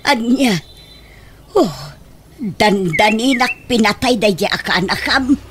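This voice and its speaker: A woman's voice: a little high, animated, slow, background noise. A woman playing a chatty, fussing mother in a comedic Ilocano radio drama. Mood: fussing, nagging.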